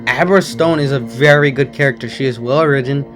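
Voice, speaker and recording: A man with a slightly hoarse voice and occasional voice cracks, giving a chatty, rambling movie review at home. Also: medium pitch, brisk, over music. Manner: chatty, rambling, enthusiastic opinions. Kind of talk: casual non-spoiler movie review.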